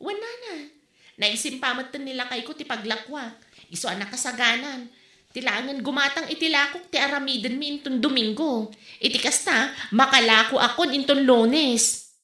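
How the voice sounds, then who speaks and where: A woman's voice: sits high, moderate pace, bright, forceful tone. An actress playing a pushy, easily exasperated woman market vendor in a comedy audio drama, with an Ilocano accent from the northern Philippines. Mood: worried, hopeful. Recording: echoey room.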